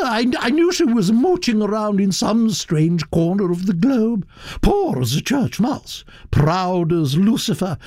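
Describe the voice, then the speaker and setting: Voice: medium pitch, a little mellow, dry recording, studio quality, pitched above the performer's own voice, heavily acted. A narrator playing an imperious, opinionated elderly duchess with a blunt, sputtering, autocratic manner. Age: older.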